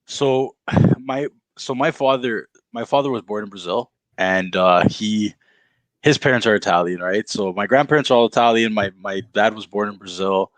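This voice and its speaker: A man's voice: on the low side, moderate pace, dry recording, rough recording. A man on a podcast rambling through a family story in casual chat, with frequent restarts. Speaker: podcast co-host.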